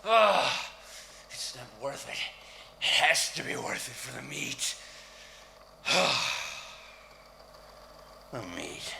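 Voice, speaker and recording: Very slow, gravelly, brooding actor as obsessive hermit. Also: male voice, mid-range voice, huge pitch swings, bright, forceful tone, a little hiss or hum, exaggerated voice. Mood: anguished, ranting. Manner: theatrical, brooding, drawn-out. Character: obsessive, weary male hermit tormented by his meat work. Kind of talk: audio drama acting.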